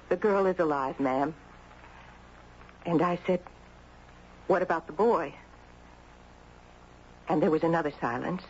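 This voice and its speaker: A guilt-ridden mom confessing in an old 70s radio play, halting and dramatic, muffled with a bit of hiss. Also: female voice, deep voice. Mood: sorrowful. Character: guilt-ridden grieving mother, anguished and confessional. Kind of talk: 1970s radio drama acting. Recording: muffled, noisy 1970s radio recording.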